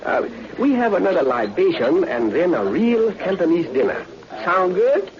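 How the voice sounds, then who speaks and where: An actor playing a chubby, genial restaurant owner in an old radio drama, speaking effusive broken English. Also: male voice, high-pitched, big pitch swings, normal speed. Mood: jovial, coaxing. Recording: dull lo-fi vintage radio recording.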